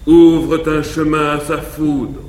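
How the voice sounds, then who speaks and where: An actor playing the avenging stone statue of a slain commander in classical French theatre, declaiming gravely and ceremoniously with long pauses. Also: male voice, fairly high pitch, little pitch movement, a little mellow. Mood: solemn menace. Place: large theatre stage before an audience. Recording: echoey 1950s live theatre recording, noisy.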